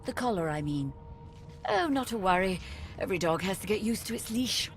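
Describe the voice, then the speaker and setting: A woman's voice: medium pitch, lively intonation, fairly quick, faint music behind, lightly acted. A woman playing a smug, condescending jailer in a video game, teasing and patronising with mock sweetness. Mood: breezy, smug. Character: smug, condescending female prison-ship magister, mockingly sweet jailer.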